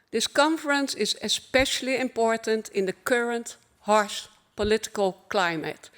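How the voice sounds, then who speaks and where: A woman with a Dutch accent giving a formal opening keynote at a conference, earnest and measured, in short phrases. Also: mid-range voice, a bit bright. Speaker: conference speaker.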